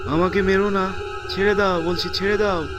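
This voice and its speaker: A man playing an anxious young villager in an animated folktale, questioning and pleading as he talks. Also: sits high, normal speed, lightly acted. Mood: terrified pleading. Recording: music behind the voice.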